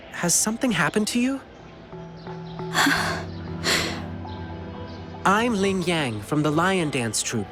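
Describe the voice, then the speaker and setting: An actor playing an earnest, eager, helpful young male lion dancer in a video game, speaking politely. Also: a little high. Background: music behind the voice.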